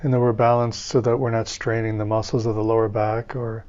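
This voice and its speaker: A man leading a guided meditation as a teacher, speaking in calm, soothing, measured phrases with pauses between them. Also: lowish voice, dull audio.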